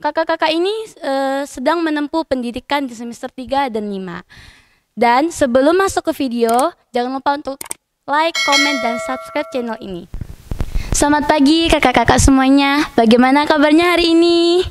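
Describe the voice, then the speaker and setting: A woman's voice: sits high, moderate pace, slight background noise. A woman from Indonesia hosting a student podcast interview, asking questions politely with frequent hesitant fillers. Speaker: podcast host.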